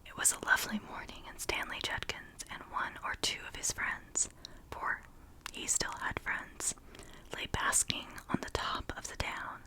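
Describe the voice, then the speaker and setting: A narrator reading a ghost story aloud entirely in a breathy whisper. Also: a little slow, rough recording.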